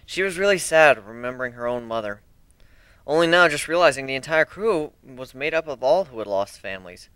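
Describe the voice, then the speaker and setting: Lively, bright-voiced storyteller, dry close sound. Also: mid-range voice, a little fast, clear, resonant tone, dry recording. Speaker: dramatic reader. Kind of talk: scripted narration of a fan fiction story.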